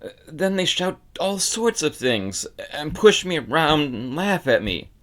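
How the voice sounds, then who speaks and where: A man voicing a timid, bullied little boy who speaks softly and hesitantly in a storybook reading. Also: fairly high pitch, big pitch swings, a little slow, voice pushed up. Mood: timid, nervous. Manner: soft, hesitant, stammering. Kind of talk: audiobook character acting.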